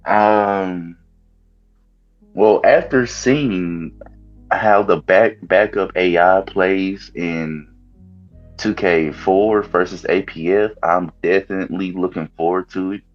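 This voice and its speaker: Deep, slow-talking man on lo-fi audio. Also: soft background music. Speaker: panel guest. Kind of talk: casual chat.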